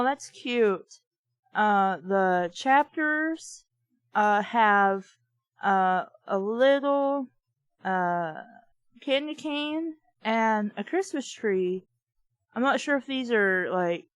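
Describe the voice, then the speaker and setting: A woman's voice: sits high, no room sound. Wry woman reading a book aloud. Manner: wry asides, stumbles over names. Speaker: book reviewer. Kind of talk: reading a novel aloud with commentary.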